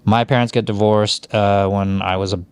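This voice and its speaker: Middle-aged male podcast host from Hawaii, lowish voice, dry close sound, rambling storyteller. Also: level tone, moderate pace, clear, resonant tone, dry recording. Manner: rambling, frequent fillers and self-corrections. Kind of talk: casual conversational storytelling.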